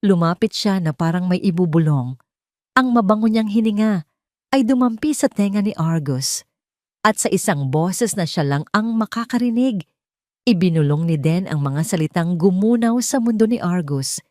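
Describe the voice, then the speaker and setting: Filipino woman narrating a dramatic story, lowish voice, really dry close sound. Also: expressive, normal speed, a little mellow, dry recording.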